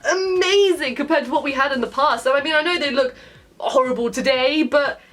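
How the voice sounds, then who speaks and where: A British woman giving chatty, comedic video game commentary in an expressive, playfully exasperated and mildly sweary way. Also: sits high, brisk. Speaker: video game reviewer and channel host. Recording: music behind the voice. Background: background music.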